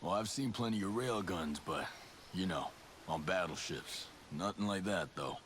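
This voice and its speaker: An actor playing a gruff, sardonic special forces soldier in a video game cutscene, dry and plain-spoken. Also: male voice, sits low, normal speed, a bit bright, a little hiss or hum. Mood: dry, curious.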